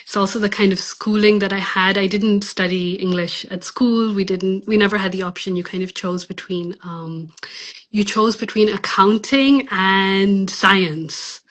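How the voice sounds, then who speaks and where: A woman's voice: medium pitch, measured pace. A woman from Pakistan, a novelist, talking about her writing in a casual interview, thoughtful and hesitant with many fillers. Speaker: novelist guest.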